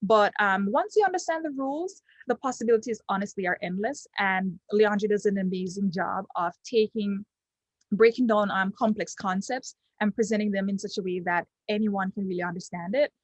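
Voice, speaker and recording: A young Jamaican woman, Patois her first language, talks through a testimonial in her Jamaican accent at a middling pitch. She halts often, filling gaps with ums and restarting phrases. The recording is dry, with no room sound. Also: normal speed, no room sound, rough recording. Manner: hesitant, many ums and restarts. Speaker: client giving a testimonial. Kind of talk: unscripted testimonial.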